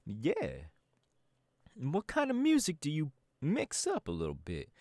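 A man's voice: fairly high pitch, wildly swooping pitch, unhurried, warm tone, no echo, studio quality, heavily acted. A man voice acting a laid-back young male DJ gang boss in an ASMR audio roleplay, talking in an easygoing, chatty drawl. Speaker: voice actor.

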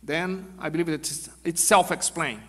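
A high-pitched Brazilian man speaking at a conference podium in a big auditorium. He talks in halting, non-native English with a Brazilian Portuguese accent, stopping and restarting often. His voice rings with a long hall reverb. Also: breathy edge. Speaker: panel speaker, army general. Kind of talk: conference presentation. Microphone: podium microphone.

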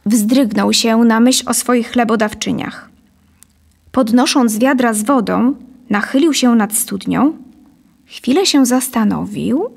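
A woman in her thirties narrating a scripted story deliberately and expressively into a close studio microphone. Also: fairly high pitch, creaky. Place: dim studio set, indoors. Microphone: studio condenser on a boom, close.

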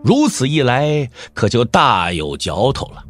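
A man's voice: medium pitch, wildly swooping pitch, unhurried, fairly bright tone, faint music behind. A man narrating a martial-arts audio drama in a theatrical, wry storytelling style. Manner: theatrical, wry, with rhetorical questions.

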